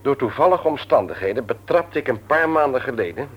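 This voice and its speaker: A man's voice: medium pitch, expressive, fairly quick, breathy edge. An actor playing a mild, hesitant office manager and family man in a Dutch radio play, polite and apologetic. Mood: hesitant, earnest. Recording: muffled old radio play recording.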